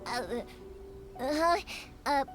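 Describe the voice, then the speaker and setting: A woman's voice: high voice, expressive, extremely slow, music in the background, full character voice. A woman playing a cheerful, chatty young fireworks maker in a video game. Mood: wistful. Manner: chatty, bubbly, rambling.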